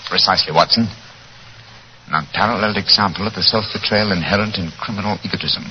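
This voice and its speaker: An actor playing a shrewd, self-assured gentleman detective, precise and urbane, in 1940s radio acting style on an old recording. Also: lowish voice, fast talker, lo-fi sound, a little hiss or hum, lightly acted.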